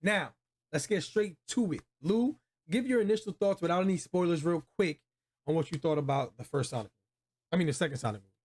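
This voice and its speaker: A man with a somewhat high-set voice hosts a relaxed review chat about films. His phrasing is informal and full of slang, like talk between friends. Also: a little fast, breathy edge. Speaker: video review host. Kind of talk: casual movie review chat.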